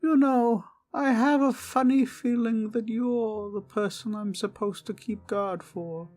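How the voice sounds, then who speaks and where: A performer voicing a small, friendly teddy bear, naive and earnest, speaking gently and politely in an ASMR comfort roleplay. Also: mid-range voice, fairly even intonation, a little slow, faint music behind, natural voice. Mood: politely brave. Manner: gentle, innocent, polite, chatty.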